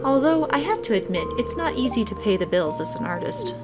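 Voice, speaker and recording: A woman plays a friendly young woman artist from a cozy video game. The voice is pitched up high, earnest and welcoming, speaking the dialogue over clear game music. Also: very animated, brisk.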